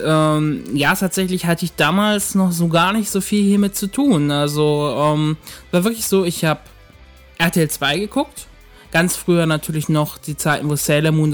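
A man from northern Germany, a podcast guest telling rambling stories with lots of fillers. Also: a little high. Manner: rambling storyteller, many fillers. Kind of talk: casual podcast chat.

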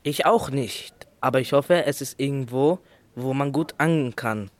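A man's voice: mid-range voice, moderate pace, no echo, close to an everyday voice. A young male pupil playing a gloomy young man in an amateur school radio play. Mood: doubtful. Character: gloomy young man, angler who hates London.

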